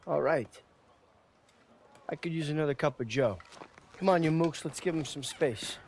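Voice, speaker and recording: A man's voice: a little high, normal speed, slight background noise, close to an everyday voice. An actor playing a jovial, big-hearted soldier joking with his squad. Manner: jokey, lively.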